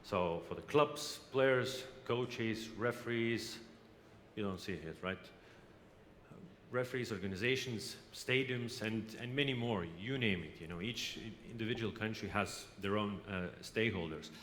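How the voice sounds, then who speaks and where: A man from Lithuania gives a conference presentation, speaking haltingly with frequent hesitations and restarts. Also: lowish voice, a bit bright. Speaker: company representative presenting. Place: exhibition hall. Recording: reverberant hall, faint background noise.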